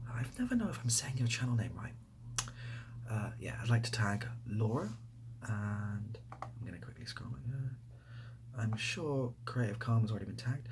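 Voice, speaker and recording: A young man with an English accent chatting softly in an ASMR style, hesitant and rambling, often dropping to a near-whisper. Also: on the low side, animated, normal speed, noisy background. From near Bath, England. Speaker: ASMR video creator. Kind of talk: softly spoken ASMR casual chat answering questions.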